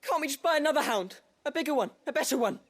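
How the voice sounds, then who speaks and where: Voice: high voice, lively intonation, fast. An actor playing a spoiled, cruel young noble child in a video game, with a whiny, entitled manner. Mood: pleading.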